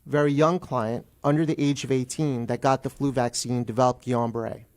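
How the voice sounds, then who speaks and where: A man's voice: medium pitch, fairly even intonation, fairly quick, darkish tone. A middle-aged man speaking as a guest attorney in a radio interview, explaining procedure in an emphatic way.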